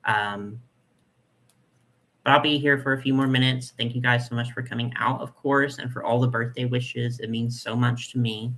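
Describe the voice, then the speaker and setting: A young man with a nasal voice hosting a casual live chat, reading comments and talking in a chatty, soft way with lots of fillers. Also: mid-range voice, fairly even intonation, normal speed. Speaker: doll collector channel host.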